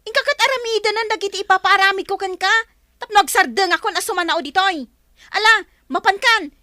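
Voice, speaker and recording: Shrill, bossy young bully. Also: female voice, brisk, bright, forceful tone, no room sound, clean studio sound. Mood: angry, scornful. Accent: Filipino. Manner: scolding, haughty. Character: spiteful, bossy young woman bullying her cousin. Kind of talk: radio drama acting.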